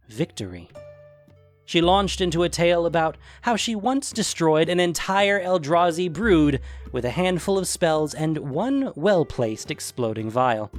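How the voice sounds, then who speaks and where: A man reading scripted fantasy fiction as a dramatic narrator. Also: on the high side.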